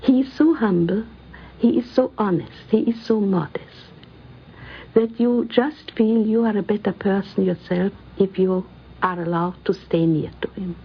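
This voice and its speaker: An older woman recalls personal memories in an earnest, deliberate way, on a 1950s radio recording. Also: medium pitch, husky, highs cut off. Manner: earnest, deliberate, measured phrasing. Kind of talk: recorded reminiscence, personal storytelling.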